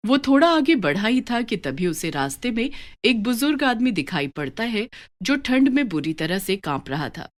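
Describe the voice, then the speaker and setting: A woman narrating a scripted moral story for children in an expressive storyteller manner. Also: on the high side, moderate pace.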